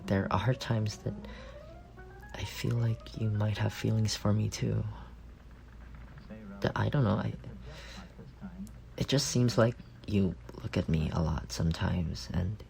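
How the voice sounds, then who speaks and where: A young man playing a shy, lovestruck roommate in an intimate ASMR roleplay, speaking tenderly and haltingly, often trailing into breathy murmurs. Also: on the low side, steady tone, darkish tone. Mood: hopeful, uncertain. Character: shy, lovestruck young roommate confessing softly to a sleeping friend.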